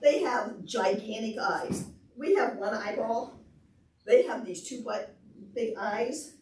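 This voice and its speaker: A woman presenting to preschoolers and parents, explaining simply and asking questions in an animated, demonstrative way. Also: fairly high pitch, slow, a little hiss or hum. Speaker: visiting presenter at a children's library program. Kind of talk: interactive talk to preschoolers and parents. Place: large indoor library meeting room. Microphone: far camera. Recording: echoey room, distant camera microphone.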